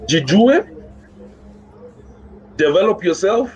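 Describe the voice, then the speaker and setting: A man's voice: sits high, big pitch swings, takes it slowly, a little mellow. A Kenyan man speaking as a music guest in a casual interview, encouraging, repeating phrases and mixing English with Swahili slang. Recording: muffled recording with clear background noise.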